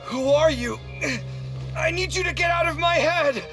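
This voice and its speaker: A man's voice: very high voice, unhurried, over music. An actor playing an earnest, bewildered young man in a game, agitated and questioning. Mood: anguished. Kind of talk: dramatic game voice acting.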